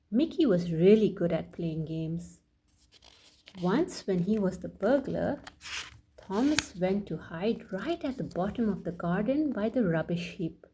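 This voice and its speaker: A woman reading a bedtime story aloud for children as a storyteller, addressing them directly in a deliberate way. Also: mid-range voice, warm tone, slightly rough. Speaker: children's storyteller and channel host.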